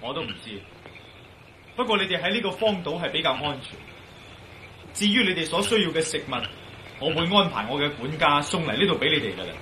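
An actor playing a composed, reassuring and decisive adventurer in a Cantonese radio drama. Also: male voice, fairly high pitch, sing-song, fairly quick, pauses often, pushed and bright, a little hiss or hum, low-quality recording.

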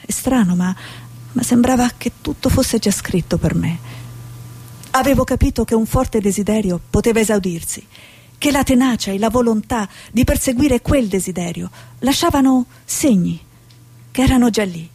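Idealistic woman journalist for a stage drama, played by an actress: earnest, determined, higher-pitched with a husky edge. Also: normal speed, warm tone, no echo. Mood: reflective, earnest.

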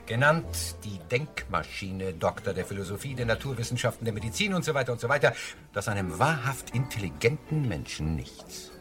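A man's voice: fairly low pitch, expressive, a little fast, a bit bright. A pompous professor who proclaims his own brilliance with sweeping intonation. A faint bed of music sits underneath him. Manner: grandiloquent, self-important. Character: pompous all-knowing male professor. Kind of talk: radio drama acting.

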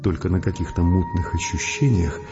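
A man's voice: low voice, a little fast, vocal fry, dull audio, over loud music. An actor playing an agitated, sardonic male scientist who argues and broods in a Russian radio drama. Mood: uneasy, brooding. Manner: conversational, sardonic, impatient. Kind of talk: Russian radio drama acting and first-person narration.

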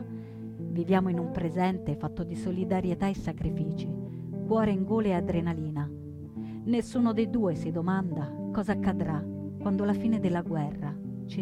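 An actress playing a proud young woman partisan in her twenties, telling her story in measured, earnest theatrical narration. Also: fairly low pitch, close to an everyday voice. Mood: proud, resolute. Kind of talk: scripted theatrical first-person narration. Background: plucked guitar music.

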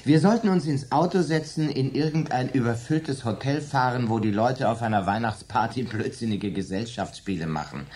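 An actor in a German radio drama playing a wry, fatalistic man who tries to steady his frightened friends. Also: medium pitch, moderate pace, few pauses, natural voice. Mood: urgent, uneasy.

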